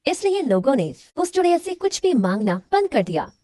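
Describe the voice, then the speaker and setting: A woman's voice: sits high, very animated, moderate pace, dark tone, no echo, clean studio sound. A woman narrating a scripted moral story for children.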